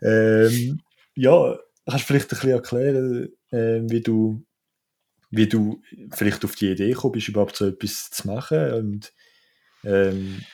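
Low-voiced Swiss podcast host. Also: male voice, steady tone, measured pace, dark tone. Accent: Swiss German. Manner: friendly, laughs often, hesitant fillers. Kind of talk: casual conversational interview.

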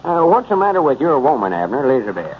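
A man's voice: mid-range voice, sing-song, quick pace, natural voice. An actor playing a self-important, easily indignant old country man with a rural Southern accent, folksy and pompous, in a 1930s radio comedy. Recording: muffled, noisy 1930s radio recording.

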